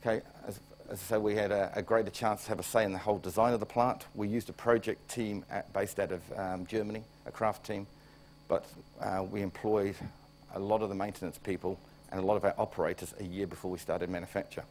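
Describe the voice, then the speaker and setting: Middle-aged New Zealand man, low voice, slightly stuffy with a cold, wry conference speaker over a faint hum. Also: steady tone, fairly quick, darkish tone. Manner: wry, plain-spoken, restarts phrases. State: coming down with a cold. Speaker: plant director. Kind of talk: conference presentation.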